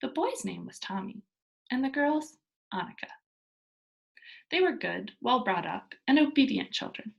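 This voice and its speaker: A woman reading a children's story aloud as narrator, in a deliberate, expressive storytelling manner. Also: a little high, vocal fry, muffled recording.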